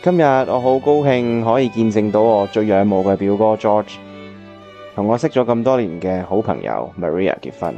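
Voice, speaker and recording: A man's voice: lowish voice, animated, normal speed, mellow, highs cut off, soft background music. A man playing an earnest, reflective bachelor in a Cantonese radio drama, with a Hong Kong accent. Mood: heartfelt speech. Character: earnest, reflective bachelor looking back on old love.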